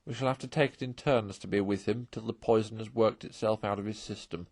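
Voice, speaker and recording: A man's voice: on the low side, steady tone, brisk, a little mellow, no echo, studio quality. Man as a stern, solemn badger. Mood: weary, resolute. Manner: solemn, firm, moralizing. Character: stern, earnest male badger, authoritative elder friend.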